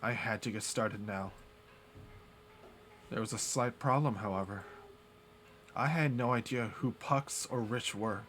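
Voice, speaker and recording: A man streaming, reading a visual novel aloud in a steady way with small slips. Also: medium pitch, a little hiss or hum.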